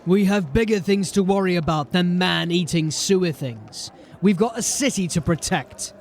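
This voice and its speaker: An actor playing a gruff, resolute sergeant of a fantasy city watch in an audio drama, giving clipped, commanding orders with dry sarcasm. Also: male voice, high voice, normal speed, a little hiss or hum.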